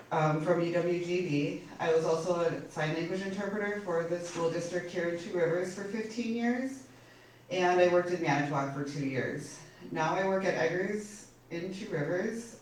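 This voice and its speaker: A woman's voice: fairly low pitch, darkish tone. A woman in her forties introducing herself as a city council candidate at a forum, friendly and a little self-conscious. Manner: friendly, slightly self-conscious, with small laughs. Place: public forum room. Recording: echoey hall, distant mic, faint noise.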